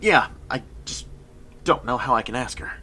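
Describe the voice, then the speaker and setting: An actor playing an earnest young soldier hero in an audio drama, stammering when flustered and firm when giving orders. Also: male voice, mid-range voice, animated, normal speed, pushed and bright, breathy edge. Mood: nervous. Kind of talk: cinematic audio drama acting. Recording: music behind the voice.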